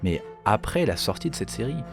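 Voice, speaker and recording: A man's voice: fairly low pitch, sing-song, brisk, gravelly, music in the background. A man giving explanatory commentary as a video game lore creator.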